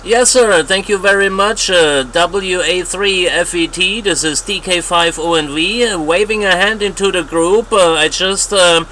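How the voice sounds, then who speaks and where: A man giving casual signal reports as an amateur radio operator, halting and repeating himself, heard over shortwave radio. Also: sits high, sharp tone, poor audio. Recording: shortwave radio with light static.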